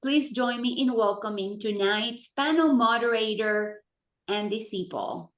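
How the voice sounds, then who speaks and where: A woman's voice: fairly high pitch, measured pace, reverberant, lo-fi sound. A woman hosting an event, reading a formal prepared introduction of the moderator. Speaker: event chair and host.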